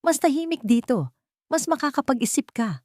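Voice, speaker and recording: A woman voicing a serious, guarded but considerate rich man in a romance story, speaking in a measured way. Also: high voice, very animated, a little mellow, no echo, studio quality, voice pushed up. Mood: gentle.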